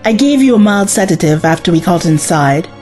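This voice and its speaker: A woman's voice: lowish voice, lively intonation, brisk, a little mellow, soft background music, natural voice. A woman playing an anxious, caring adventurer's companion in an audio drama. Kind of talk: fan audio drama acting.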